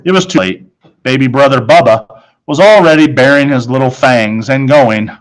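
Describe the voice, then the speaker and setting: A man's voice: mid-range voice, normal speed, rough recording. A man narrating a children's book in the first person, reading from the text as a storyteller.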